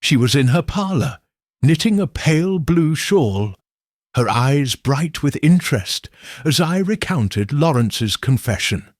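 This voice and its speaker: A man narrating a mystery story in a measured, unhurried storytelling manner. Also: a little high, animated, no room sound, clean studio sound.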